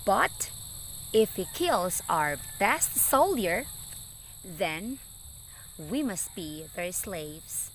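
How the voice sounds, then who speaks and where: A woman's voice: fairly low pitch, very animated, drawn-out words, long pauses, fairly bright tone, a little hiss or hum, full character voice, rough recording. A woman voicing a frightened, awed soldier in a children's story, speaking with alarmed emphasis. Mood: fearful, urgent. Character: frightened, awed soldier brothers warning of a giant.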